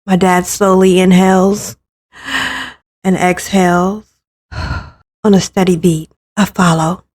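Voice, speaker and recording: A woman's voice: lowish voice, little pitch movement, takes it slowly, darkish tone. A woman reading scripted first-person narration for a dramatic visual novel story.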